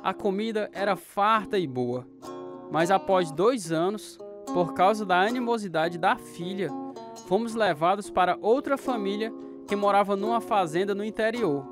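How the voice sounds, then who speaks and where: Actor as sorrowful orphaned brother, guitar behind. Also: male voice, high voice, normal speed, close to an everyday voice. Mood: wistful. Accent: Brazilian. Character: grown orphan brother sadly recounting a hard childhood. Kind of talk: radio drama acting. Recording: guitar music behind the dialogue.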